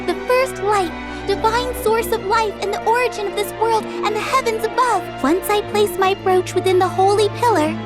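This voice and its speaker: Tiny bossy fairy girl for a game, super high bubbly voice, music playing behind. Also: moderate pace, few pauses. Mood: earnest, explaining. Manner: exclamatory, emphatic. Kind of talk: video game voice acting.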